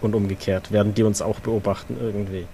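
A man playing a wary, distrustful vampire in a role-play audio drama, with halting, deliberate phrasing. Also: lowish voice, flat delivery, warm tone, slight background noise, lightly acted.

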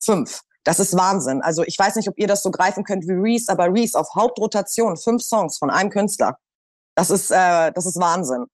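A woman's voice: lowish voice, expressive, normal speed, no echo, clean studio sound. A woman from Hamburg, a radio presenter, talking in a casual roundtable and stressing her points by repeating them. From Hamburg, Germany.

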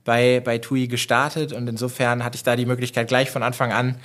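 A man in his forties from Germany with a northern German accent, speaking as a guest in an interview. He talks in a measured, reflective way, pausing on fillers and restarting his phrases, at a mid pitch. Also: level tone, fairly bright tone. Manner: thoughtful, many fillers and restarts. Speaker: managing director, guest. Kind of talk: conversational interview answers.

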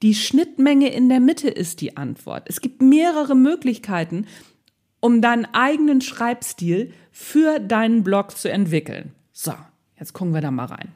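A woman's voice: fairly high pitch, big pitch swings, a little slow, darkish tone. A woman with a Northern German accent giving a casual blogging tutorial, chatty, playful and colloquial as she speaks to the viewer.

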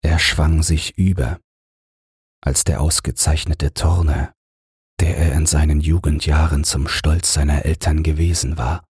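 A man's voice: extremely low pitch, steady tone, measured pace, a little mellow, breathy edge, no room sound, clean studio sound. A man reading as an audiobook narrator, in scripted literary narration meant for relaxing and falling asleep. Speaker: audiobook narrator and podcast host.

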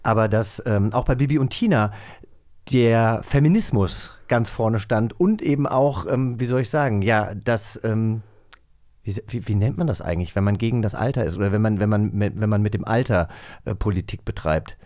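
A man's voice: lowish voice, normal speed, darkish tone. Chatty man co-hosting a magazine show. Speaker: podcast co-host. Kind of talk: casual magazine talk.

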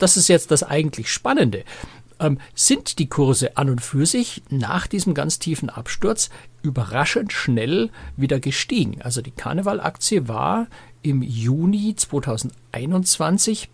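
A man's voice: on the high side, sing-song, moderate pace, vocal fry, husky, dry recording. A man co-hosting a podcast, explaining things in casual talk. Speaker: podcast co-host and cruise journalist.

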